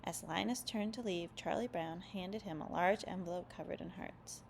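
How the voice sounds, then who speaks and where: A woman storyteller reads aloud for young children with expressive, careful phrasing. Her voice is full of creak, and there is slight noise behind it. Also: fairly low pitch, moderate pace. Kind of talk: children's read-aloud narration.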